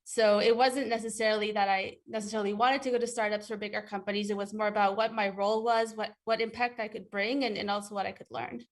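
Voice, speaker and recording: A woman's voice: medium pitch, little pitch movement, fast talker, hardly any pauses. A woman from Ecuador, a guest in an interview, answering thoughtfully with frequent fillers and restarts.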